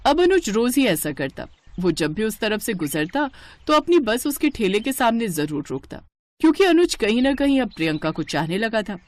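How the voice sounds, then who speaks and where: Expressive woman storyteller. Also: mid-range voice. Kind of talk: scripted moral story narration.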